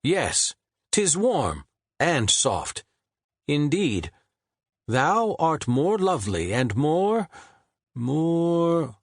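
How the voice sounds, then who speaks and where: Guy doing a big theatrical poet in his 40s, high and slow, swoops all over, dry husky sound. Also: very animated, no room sound, heavily acted. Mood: musing, poetic. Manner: drawn-out, declaiming, slow and lofty. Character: theatrical poet, 41-year-old man, grandly wordy.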